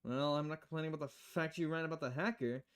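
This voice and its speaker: A man's voice: a little high, fairly even intonation, fast talker, clear, resonant tone, natural voice. A man voicing a level-headed, wry bartender in a visual novel, speaking in a restrained, polite way. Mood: dry, calm.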